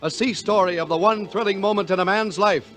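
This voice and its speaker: A man's voice: high voice, brisk, fairly bright tone, faint music behind. A man with an American accent announcing in a polished, emphatic 1950s radio style, reading scripted copy. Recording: vintage 1950 radio recording.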